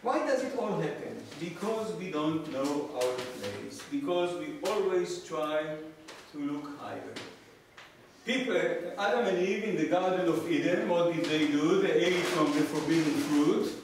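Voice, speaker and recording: A man in his sixties with an Israeli accent lectures in a very echoey room, heard from well away. His voice sits fairly high, and as a native Hebrew speaker he delivers his material with wry, deadpan humor. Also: measured pace, gravelly, recorded from a distance. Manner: wry, joking asides in a deadpan way. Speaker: professor, guest lecturer. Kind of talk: public lecture. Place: small lecture room with seated audience, indoors. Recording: echoey room from afar, noisy background.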